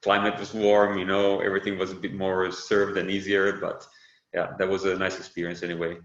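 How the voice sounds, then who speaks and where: A man with an Italian accent, Italian by birth, being interviewed on a podcast and talking in a relaxed, unhurried way with many fillers and self-corrections. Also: low-pitched. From Italy. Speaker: guest, windsurf brand founder. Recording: roomy, slightly dull sound.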